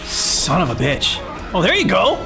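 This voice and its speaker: A man's voice: high voice, huge pitch swings, a little slow. A man giving casual reaction commentary as host of a video. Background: game audio with music.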